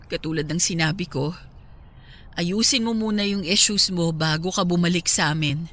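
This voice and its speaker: An actress with a Filipino accent playing a hurt, guarded wife in a radio drama. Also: female voice, lowish voice, moderate pace, fairly bright tone, natural voice. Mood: guarded, wistful. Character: hurt pregnant wife, firm and guarded with her cheating husband. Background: music.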